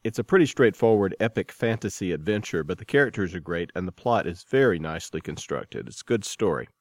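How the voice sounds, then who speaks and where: A man with a fairly low voice presents a podcast from a script. The sound is dry, with little room around him. He delivers it as a host introducing segments and news. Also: lively intonation, fairly quick, no echo.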